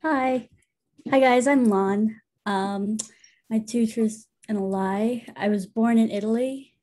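A woman's voice: on the high side, steady tone, slow, a little mellow. A woman born in China chatting casually as she introduces herself on a video call.

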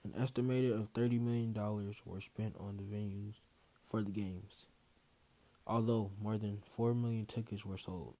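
A man's voice: lowish voice, fairly even intonation, normal speed, mellow. A man reading a scripted newsreel narration. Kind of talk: scripted narration read aloud. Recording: clear hiss behind the voice.

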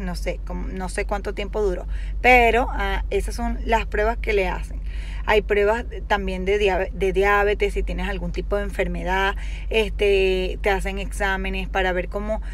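A woman's voice: medium pitch, a little hiss or hum. A young woman chatting casually to her phone camera from inside a parked car, hesitant and full of fillers as she explains something. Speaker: vlogger. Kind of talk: casual selfie-video chat. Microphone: phone held at arm's length.

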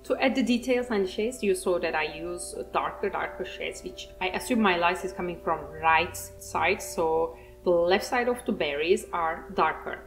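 A woman's voice: lowish voice, moderate pace, soft background music, low-quality recording. A woman with a Turkish accent, a native Turkish speaker, giving a rambling, self-mocking voice-over while she paints, laughing often. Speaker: art channel host.

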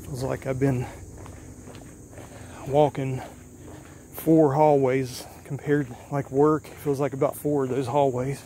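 A man talks to his camera while walking a long overgrown back road, his voice steady and flat with little rise or fall. He rambles loosely and sounds a little worn from the walk, and faint insects buzz in the open air behind him. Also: mid-range voice, slow, long pauses, a little hiss or hum. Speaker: vlogger exploring an abandoned road. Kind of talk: casual walking vlog. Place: outdoors on an overgrown country road. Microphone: handheld or body camera while walking. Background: faint insects and rural ambience.

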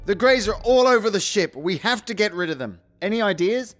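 Space hero: urgent wisecracker, very animated delivery, sci-fi audio drama with light music. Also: male voice, high voice, a little fast.

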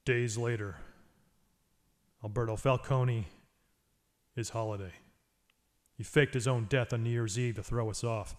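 An actor playing a brooding, driven masked vigilante detective in a radio noir, terse and demanding. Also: male voice, medium pitch, fairly even intonation, a little slow, long pauses, natural voice. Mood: grim, brooding. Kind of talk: radio noir acting and narration.

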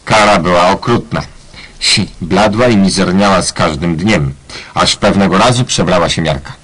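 Male narrator, low husky voice, deadpan ironic storytelling. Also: moderate pace, poor audio. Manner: mock-solemn, tongue-in-cheek. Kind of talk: scripted ironic radio play narration.

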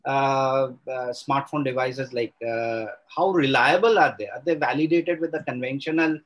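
A man's voice: mid-range voice, moderate pace, fairly bright tone. A middle-aged man with an Indian English accent, politely asking a presenter questions as a judge on a video call.

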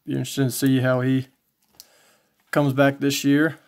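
A man casually commentating as he shows collectibles, in a low-key, folksy way, thinking aloud. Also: level tone, normal speed. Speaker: trading card collector and video host. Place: indoors, small home tabletop setup.

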